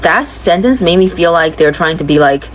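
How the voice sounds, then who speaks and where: A woman's voice: lowish voice, lively intonation, moderate pace, a little hiss or hum. A woman from China talking candidly in a thoughtful, searching, confiding monologue. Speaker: vlogger sharing personal reflections.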